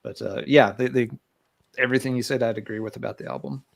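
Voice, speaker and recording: A man hosting a podcast in relaxed casual chat, leaning on fillers like "you know" and "uh". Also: mid-range voice, fast talker, creaky. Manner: easygoing, fills with "you know" and "uh". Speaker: podcast host. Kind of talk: casual chat about metal albums.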